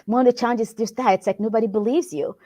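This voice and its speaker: Guest: woman from Congo, warm dark tone, earnest and reflective, restarts phrases. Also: a little high, steady tone, rapid-fire. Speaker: guest, workplace wellbeing consultant. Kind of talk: conversational interview answer.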